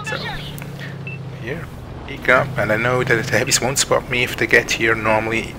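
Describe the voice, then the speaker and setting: A man's voice: mid-range voice, steady tone, moderate pace, noisy background. A man with a Swiss accent giving casual gameplay commentary, hesitant and full of ums and restarts. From Switzerland. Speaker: gaming channel host. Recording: game sounds over the voice. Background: tank game sounds.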